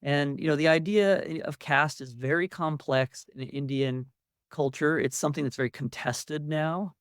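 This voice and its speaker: A man's voice: fairly high pitch, normal speed, clear, resonant tone, dry recording. Man giving a conversational academic lecture, dry sound. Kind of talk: public academic lecture with slides.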